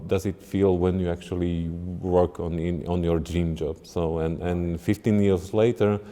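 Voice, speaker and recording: A middle-aged man from Slovakia, a game design lead answering interview questions in halting English, often restarting mid-sentence. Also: sits low, little pitch movement, warm tone, long reverb tail.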